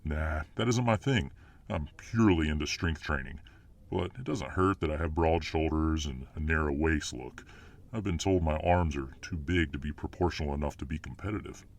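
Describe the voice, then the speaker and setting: A man's voice: a bass voice, normal speed. A man playing a huge, confident but modest alpha fireman hero in a casual, self-assured ASMR roleplay. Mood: cocky, relaxed. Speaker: voice actor in audio roleplay.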